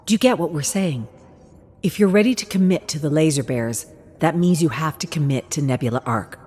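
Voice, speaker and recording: Woman voicing an earnest, persuasive young team captain, low-pitched. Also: fairly quick, darkish tone, slightly rough, soft background music.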